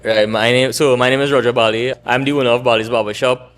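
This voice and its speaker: Trini guy in his 30s, relaxed rambling talk, regular mid voice. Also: medium pitch, fast talker. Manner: relaxed, rambling with restarts and laughs. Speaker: barbershop owner, guest. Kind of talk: casual interview chat.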